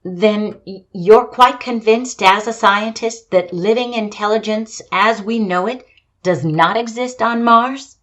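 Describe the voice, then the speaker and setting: A woman's voice: medium pitch, unhurried, natural voice. Radio-play field reporter, actress, female, mid-range voice, 1930s style, formal, courteous, descriptive. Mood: inquisitive, polite. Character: poised female radio field commentator interviewing an astronomer. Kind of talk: 1930s radio drama live commentary.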